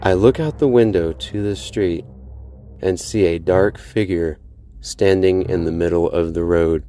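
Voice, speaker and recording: Male horror podcast narrator, deep voice, suspenseful first-person delivery over music. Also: a little slow, warm tone. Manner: dramatic, suspenseful storytelling. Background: music behind the voice.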